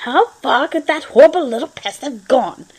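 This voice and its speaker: A flamboyant, high-voiced male reaper, acted out by a woman reading a story. He is theatrical and easily exasperated, throwing his lines out in loud, melodramatic bursts. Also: expressive, normal speed.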